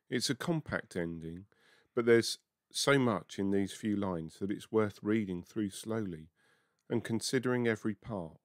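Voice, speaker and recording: A man's voice: low voice, dry recording, clean studio sound. A man, a minister, giving a scripted reflection on scripture. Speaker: Baptist minister.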